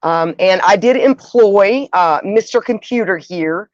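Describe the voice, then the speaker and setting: A woman's voice: medium pitch, unhurried, dry recording. A middle-aged woman hosting a livestream, chatting casually and musingly, speaking straight to her listeners. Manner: conversational, musing, addresses listeners directly. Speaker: livestream host. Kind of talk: casual livestream chat, reading out viewer comments. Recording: dull, dry lo-fi audio.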